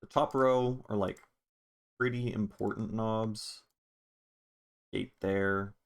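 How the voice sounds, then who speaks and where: A man with a fairly low voice talks through a music production tutorial. He is casual and offhand, informal in his wording, with the occasional easy swear. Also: fairly even intonation, slow, gravelly, clean tone. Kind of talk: casual screen-recorded software tutorial.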